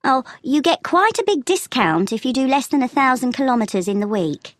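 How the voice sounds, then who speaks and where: Woman playing helpful travel agent lady, high voice, goes up and down a lot, matter of fact, scripted test dialogue. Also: very animated, moderate pace, no room sound, clean studio sound. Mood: helpful, advising.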